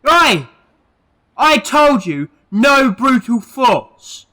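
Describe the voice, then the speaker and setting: A man's voice: very high-pitched, sing-song, extremely slow, sharp tone, full character voice. A man playing an eccentric, moralising alien time traveller in an audio drama, speaking earnestly and emphatically. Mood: angry, shouting. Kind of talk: fan audio drama acting.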